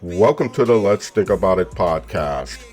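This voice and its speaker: A man's voice: low-pitched, lively intonation, moderate pace, loud music behind the voice. A man reading a scripted, promotional podcast intro in a punchy way. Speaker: podcast host.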